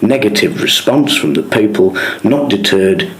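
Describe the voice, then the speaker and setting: An English man working as a radio interviewer, putting prepared questions in a formal, measured way. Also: lowish voice, animated, fairly bright tone. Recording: echoey room.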